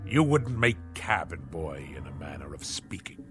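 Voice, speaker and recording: An actor playing a pompous, irascible middle-aged boss in a radio drama, blustering in nautical turns of phrase like a sea captain. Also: male voice, a bass voice, big pitch swings, normal speed, exaggerated voice. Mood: indignant, pompous. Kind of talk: theatrical radio drama acting. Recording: radio drama with music underneath.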